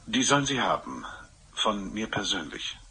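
A man's voice: lowish voice, big pitch swings, normal speed, sharp tone, slightly rough, full character voice, rough recording. An actor playing a composed, formal and cagey man confessing to a murder in a German radio play. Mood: polite, evasive.

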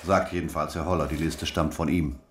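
An actor playing a brisk police investigator in a radio drama, asking terse, probing questions. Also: male voice, rumbling low, steady tone. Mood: pressing. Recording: roomy echo, faint noise.

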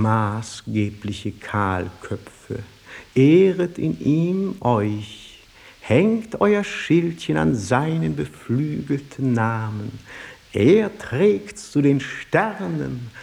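Slow, gravelly chorus orator. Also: male voice, fairly high pitch, lively intonation, a little mellow, heavily acted. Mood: exalted. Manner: declamatory, measured, emphatic. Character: grand chorus speaker proclaiming a scornful ode praising a poet. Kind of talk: solemn declaimed verse in stage radio drama.

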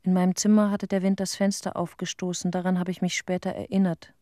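An actress playing a sober nature inspector, giving a plain, restrained recollection as a monologue in a German radio play. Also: female voice, lowish voice, flat delivery, fast talker, mellow, no echo, clean studio sound, lightly acted. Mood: quiet recollection. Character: sober female nature inspector quietly recalling a disaster.

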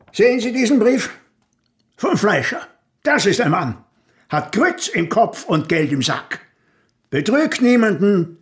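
Animated, scornful shopkeeper father. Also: high-pitched, heavily acted. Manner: curt, emphatic, lecturing. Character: blunt, hard-nosed shopkeeper father, scornful and practical. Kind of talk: radio drama acting.

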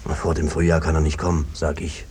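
An actor playing an evasive, henpecked peasant brother who talks around things in a cajoling, hesitant way, in a 1950s radio drama style. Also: male voice, extremely low pitch, flat delivery, a little fast, a little mellow. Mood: evasive, uneasy. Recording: noisy old 1950s radio recording.

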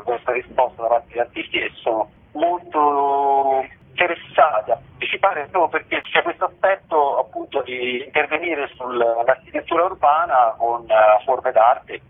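A man's voice: medium pitch, gravelly, slightly rough. A man explaining things informally and discursively in a radio interview as a festival organiser. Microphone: telephone. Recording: muffled, narrow-band telephone line.

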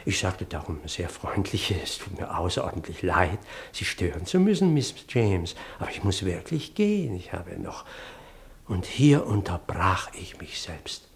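A man's voice: sits low, big pitch swings, vocal fry, exaggerated voice. An actor playing a flustered, pedantic parson who tells his dramatic story in in a radio play. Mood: aggrieved, formal. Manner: fussy, dramatic, halting when agitated.

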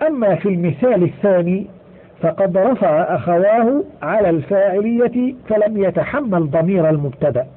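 A man reading a grammar textbook aloud in a measured way, slowing down to stress each example. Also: high voice. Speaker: audiobook reader. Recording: tinny phone-like audio.

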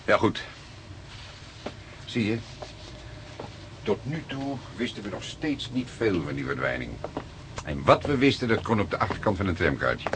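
A man playing an unhurried, wry, stubborn veteran police detective in a radio drama, speaking in a deliberate, dry, fatherly way. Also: on the low side, sing-song. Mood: musing, dry. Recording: noisy archive radio drama recording.

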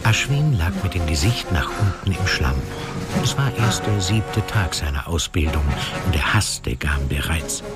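A man's voice: low-pitched, expressive, measured pace. A man giving scripted, dramatic narration for a radio play. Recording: loud music behind the voice.

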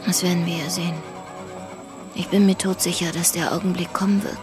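A weary, worldly mother played by an actress in a radio drama. Her woman's voice sits on the low side, wry and given to dreamy musing. Music is clearly heard behind her. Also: flat delivery, brisk, close to an everyday voice. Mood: warning. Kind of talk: German radio play acting.

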